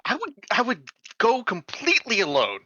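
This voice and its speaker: A man's voice: very high voice, huge pitch swings, normal speed, bright, forceful tone, no room sound, muffled recording. A man chatting casually as a player in a tabletop game.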